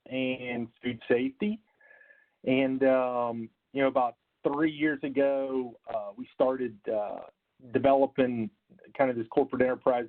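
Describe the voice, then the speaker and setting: Male sales lead, mid-range pitch, polite panel intro, lo-fi call audio. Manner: polite, business-like, with many "uh"s and repeats. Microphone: video call.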